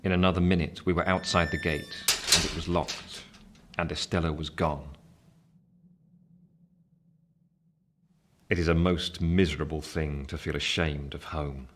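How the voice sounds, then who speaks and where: A man's voice: a bass voice, fairly even intonation, moderate pace, fairly bright tone, a little hiss or hum. An actor playing a reflective grown man narrating his youth, with an English accent, in a dramatic literary style. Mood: wistful, reflective.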